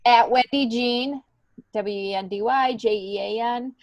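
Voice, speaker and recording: A woman's voice: fairly high pitch, unhurried, dry recording, lo-fi sound. A middle-aged woman, a stand-up comedian, talks casually and candidly as a podcast guest, in an informal, filler-heavy way. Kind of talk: casual conversational chat.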